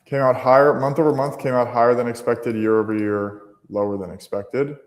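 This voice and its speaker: A man's voice: mid-range voice, fairly even intonation, brisk, big-room sound. A man hosts a live trading stream in a casual, unscripted way. He thinks aloud as he goes, restarting sentences and leaning on fillers. His tone stays conversational and everyday.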